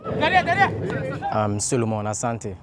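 A man from Ghana, a soccer player, speaking in simple, deliberate sentences. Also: medium pitch, wildly swooping pitch, a loud crowd, very noisy. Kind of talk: interview.

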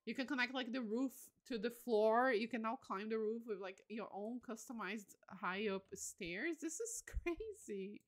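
A woman chatting casually on a game livestream, excitable and gushing, often breaking into exclamations. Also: fairly high pitch, a little slow, few pauses. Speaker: live streamer.

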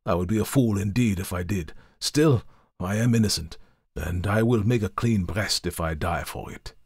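A burly, nervous sailor, played by a male narrator in a low, plain-spoken voice. His words come earnest and heavy. The recording is dry and close. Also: normal speed, breathy edge, no room sound.

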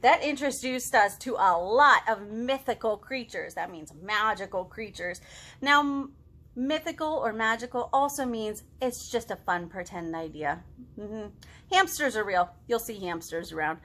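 A woman in her thirties leading a storytime for young children, expressive and encouraging, with simple child-directed phrasing. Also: mid-range voice, measured pace. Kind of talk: animated children's storytime, reading aloud and chatting to young children. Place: living room at home.

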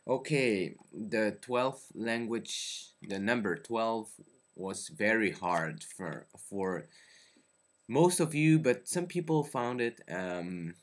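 A man's voice: lowish voice, slow. A young man in his twenties, a native Teochew speaker, talks to his webcam from a small bedroom, hesitant, with many fillers and self-corrections. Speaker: vlogger. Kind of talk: casual talk to camera. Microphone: webcam at close range.

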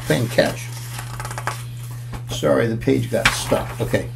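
A man in his sixties reading a picture book aloud to children, playful and expressive, with casual asides as he goes. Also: mid-range voice, takes it slowly, gravelly, noisy background. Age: 60s. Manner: expressive, playful, inviting listeners along. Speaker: children's storyteller. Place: small room at home, indoors.

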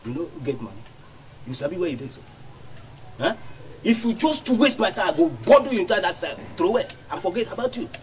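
An actor playing a bullying, mocking police officer, speaking with a Nigerian Pidgin accent. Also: male voice, high voice, sing-song, a little fast, slight background noise, low-quality recording. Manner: gruff, mocking, threatening. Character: bullying male police officer, middle-aged.

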